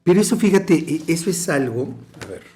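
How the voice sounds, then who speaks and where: Mexican male host, rambling and filler-heavy, dark mellow timbre. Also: a little high, big pitch swings, fairly quick. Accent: Mexican Spanish. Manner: rambling, many fillers and restarts. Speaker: podcast host. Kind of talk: political conversation.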